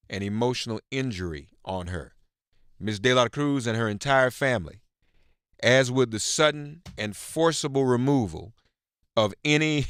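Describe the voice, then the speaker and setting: A man with a fairly low voice hosts a live political radio call-in show. He speaks haltingly but with emphasis, doubling words to press a point. The sound is close and dry, with very little room on it. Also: a bit bright, smooth, dry recording. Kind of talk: live political talk radio, news reading and chat with callers.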